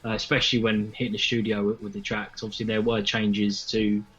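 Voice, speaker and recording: A man's voice: lowish voice, little pitch movement, fairly quick, fairly bright tone, husky. A man chatting casually and in a rambling, earnest way as an interviewed band member. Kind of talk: casual chat. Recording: dull lo-fi call audio.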